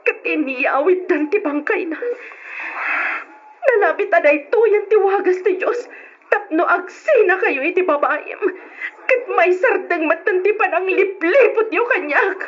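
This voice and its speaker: A hurt yet forgiving wife in an old Ilocano radio drama. Her voice is extremely high, with an Ilocano accent. The recording is dull, with a little noise. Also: expressive, measured pace. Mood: bitter. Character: betrayed, long-suffering wife Sylvia, hurt but forgiving. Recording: muffled old radio drama, faint hiss.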